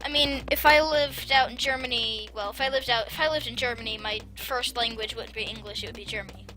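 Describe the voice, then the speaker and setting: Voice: medium pitch, a little fast. A gameplay commentator chatting casually over a video game, rambling and hesitant with many restarts. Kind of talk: casual let's-play chat. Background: game music.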